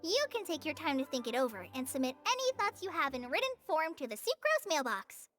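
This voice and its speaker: Casting: childlike video game fairy sidekick, chirpy, extremely high, lively swoops. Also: female voice, a little fast, faint music behind. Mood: reassuring. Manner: chirpy, eager. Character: small, chirpy, childlike female fairy companion. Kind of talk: video game cutscene acting.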